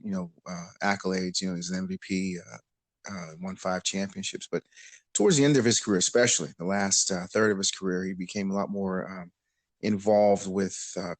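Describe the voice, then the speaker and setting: A man guesting on a podcast, answering in a low voice that sounds dry and close to the mic. He talks thoughtfully, pausing on many small fillers, and he comes from western Pennsylvania. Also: no room sound. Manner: thoughtful, frequent fillers and restarts. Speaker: basketball coach and guest. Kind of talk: interview answers.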